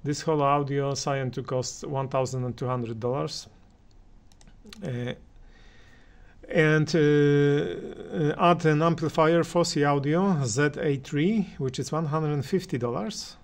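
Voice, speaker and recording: A man giving unscripted hi-fi commentary as a reviewer, speaking haltingly with many pauses. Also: on the high side, steady tone. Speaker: audio reviewer and channel host. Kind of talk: unscripted opinion commentary on hi-fi gear.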